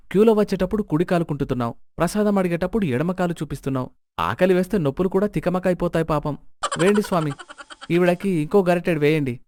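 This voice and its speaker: A man's voice: sits high, darkish tone, no echo, clean studio sound, pitched above the performer's own voice. Man putting on a sly, sarcastic village beggar. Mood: teasing. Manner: mock-pitying, theatrical, sarcastic. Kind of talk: Telugu moral-story character acting.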